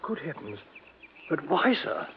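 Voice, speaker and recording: An anxious, proper butler in an old radio drama. His higher male voice swoops up and down as he apologizes and defers with stiff formality. The recording is muffled, with faint hiss. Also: sing-song, unhurried. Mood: worried, deferential. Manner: hesitant, formal, apologetic, servile. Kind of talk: 1950s radio drama acting.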